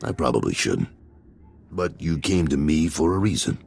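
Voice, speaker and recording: Very deep, gravelly gangster boss. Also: male voice, very animated, normal speed, a little mellow, heavily acted. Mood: worried, wry. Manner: gruff, frank, businesslike. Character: gruff, world-weary male gangster boss, middle-aged father. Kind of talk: video game voice acting.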